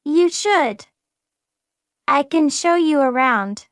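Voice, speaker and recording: A woman voicing a friendly everyday speaker in a beginner English lesson dialogue. Her voice sits high, and she speaks each line slowly and clearly. The recording is close and completely dry. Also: expressive, dry recording. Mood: plain. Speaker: dialogue partner in English practice. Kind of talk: slow scripted practice dialogue.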